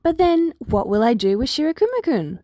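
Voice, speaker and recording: A woman's voice: high voice, very animated, brisk, dark tone, no room sound, clean studio sound. A woman voicing a cheerful, curious male animal school principal, genial and musing, in a story reading. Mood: thoughtful.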